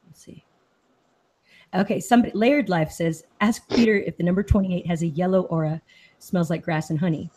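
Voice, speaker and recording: A woman's voice: lowish voice, fairly even intonation, fairly quick, darkish tone, slight background noise. A woman from Austin, Texas, hosting a casual live chat and reading viewer comments aloud in a chatty, easily sidetracked way.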